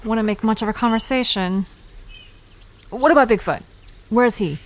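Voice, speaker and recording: A woman playing a curious, friendly young park visitor in an audio drama, inquisitive and expressive in a conversational way. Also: fairly high pitch, moderate pace, close to an everyday voice.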